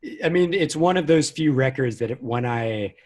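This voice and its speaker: A male guitarist talks as a podcast guest in a mid-range voice. He is relaxed and agreeable, often chiming in with short assents. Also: mid-range voice, normal speed. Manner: agreeable, laid-back, laughs easily. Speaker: guest musician. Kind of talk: casual conversation.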